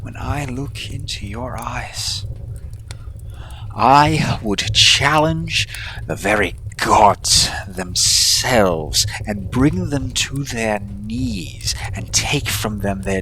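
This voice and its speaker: A performer voicing a centuries-old villain in love, grandiose and devoted, speaking in breathy theatrical declarations for a romantic audio roleplay. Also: mid-range voice, pushed and bright, slight background noise, exaggerated voice, low-quality recording. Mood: fervent, grandiose.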